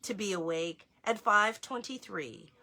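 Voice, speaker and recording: A woman reading a children's story aloud as host, in an expressive storytelling style. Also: medium pitch, a little slow.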